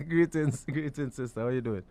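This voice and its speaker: A man co-hosting a radio talk show, easygoing and quick to agree. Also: a little high, lively intonation, a little mellow. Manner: easygoing, agreeing often, repeats himself. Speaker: radio co-host.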